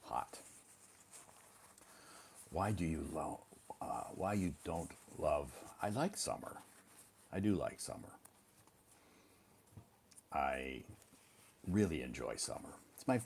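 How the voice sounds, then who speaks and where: Deep gravelly guy, middle-aged, chatty live stream host, reads out comments. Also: a little hiss or hum. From Iowa, United States. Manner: relaxed, chatty, reads and answers viewer comments aloud. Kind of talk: casual live stream chat with viewers.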